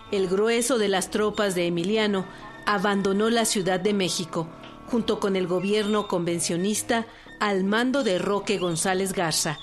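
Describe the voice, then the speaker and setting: A woman with a Mexican accent giving scripted documentary narration for a radio production. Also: mid-range voice. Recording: radio production with music bed.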